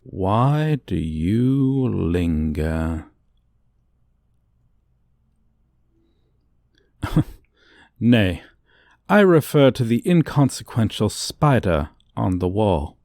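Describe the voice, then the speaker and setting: A man plays a condescending dragon professor with a lowish voice that rises and falls dramatically. He speaks very slowly, in pompous, old-fashioned formal phrases, as in an ASMR fantasy roleplay. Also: big pitch swings, vocal fry, a little mellow, dry recording, clean studio sound, full character voice. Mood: irritated, contemptuous. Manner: pompous, archaic, formal diction. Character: haughty dragon professor, condescending, lecturing a human student.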